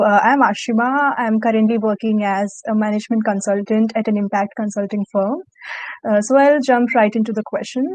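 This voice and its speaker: A young professional woman asks her prepared questions carefully and formally. Her voice is fairly high. The recording is dry, with little room sound. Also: darkish tone, no echo, low-quality recording. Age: young adult. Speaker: management consultant, questioner.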